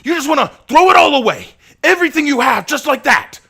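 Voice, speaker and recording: A man's voice: very deep voice, moderate pace, voice pushed down. A man voicing a tormented young vampire. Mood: furious. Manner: bursts into shouting rage and anguish. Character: young male vampire, tormented, volatile temper.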